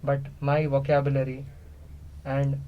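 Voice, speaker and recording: A man playing a captive, all-knowing non-human being in a radio play, curious and literal, speaking in halting, deliberate pieces. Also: medium pitch, completely flat delivery, narrow, tinny audio, slight background noise, natural voice. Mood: solemn, matter-of-fact. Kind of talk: semi-improvised radio play dialogue.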